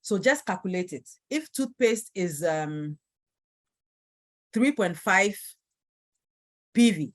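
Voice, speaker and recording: A woman's voice: medium pitch, lively intonation, slow, long pauses. A woman with a Nigerian accent giving a motivational sales training talk, stressing and repeating her key points. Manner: emphatic, repeats key phrases. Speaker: network marketing team leader.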